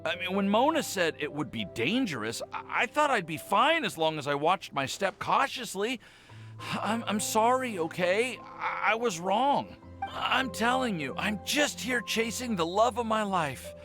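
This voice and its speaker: A man's voice: high-pitched, very animated, measured pace, bright, forceful tone, soft background music, full character voice. An actor playing a timid, lovestruck young man in an anime game, stammering and nervously apologetic. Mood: flustered, defensive.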